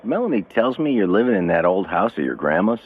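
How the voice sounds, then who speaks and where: An actor playing a steady, devout, middle-aged prisoner in a radio drama, plain-spoken and folksy, with a gravelly, husky voice. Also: male voice, fairly low pitch, fast, narrow, tinny audio. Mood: gentle, steady. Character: steady, blunt, devout male long-term prisoner, kindly counselor.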